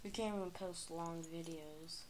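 A woman's voice: fairly low pitch, steady tone, moderate pace, darkish tone, a little hiss or hum. A woman talking casually to viewers of her toy video, rambling and hesitant, recorded on a phone camera in a carpeted room. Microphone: phone camera near the floor.

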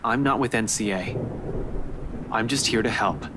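Blunt, low-voiced tough guy acted by a man. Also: normal speed, lightly acted. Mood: urgent warning. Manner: blunt, terse, forceful wording. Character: tough, blunt, foul-mouthed male cop. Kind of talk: visual novel game acting. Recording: storm noise behind game dialogue.

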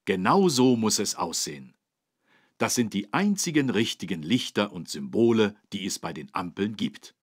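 A man's voice: fairly low pitch, big pitch swings, moderate pace, dry recording, studio quality. A man playing a friendly, helpful policeman who explains things simply to small children in a German cartoon game. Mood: instructive. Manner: didactic, clearly phrased for small children. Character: friendly, helpful adult male policeman teaching children road safety.

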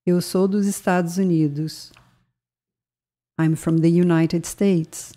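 A woman's voice: fairly low pitch, warm tone. A woman narrating a scripted bilingual language lesson, speaking carefully and spelling out words. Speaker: language teacher.

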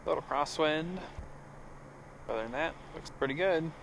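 Guy pilot chatting casually on headset, gravelly voice, faint engine drone behind. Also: a little high, normal speed, fairly bright tone, slight background noise, low-quality recording. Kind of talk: casual cockpit chat. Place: small turboprop cockpit in flight. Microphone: aviation headset.

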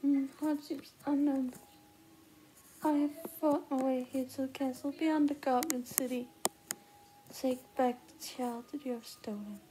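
Woman acting a high-pitched, petulant teenage girl. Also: steady tone, dark tone. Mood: solemn, defiant. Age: young adult. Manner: theatrical, declamatory, drawn-out. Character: dramatic, petulant, self-pitying teenage girl. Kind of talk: amateur film-scene reenactment. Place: indoor room at home. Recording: noisy handheld phone recording.

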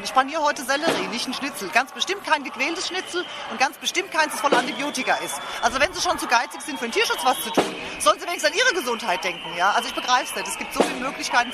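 Indignant woman interviewed on the street, music behind. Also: high voice. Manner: indignant, emphatic. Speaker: passer-by being interviewed. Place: outdoors at a street demonstration.

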